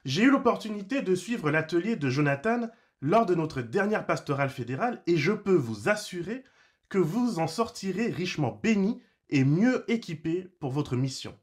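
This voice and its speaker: A man formally introducing a speaker at a church webinar over a video call. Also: fairly high pitch. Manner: formal, measured. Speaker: church communication organizer. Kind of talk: read introduction.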